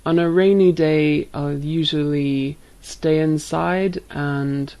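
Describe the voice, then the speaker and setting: A woman from Ireland, talking gently and unhurriedly. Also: deep voice, steady tone, slow pace. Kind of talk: unscripted answers for a learner video.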